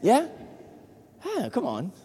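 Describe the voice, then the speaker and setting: A man from Puerto Rico, Spanish his first language, with a Puerto Rican accent, preaching an animated, joking sermon to a congregation. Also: very high voice, slow, husky. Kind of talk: lively sermon. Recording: long hall reverb.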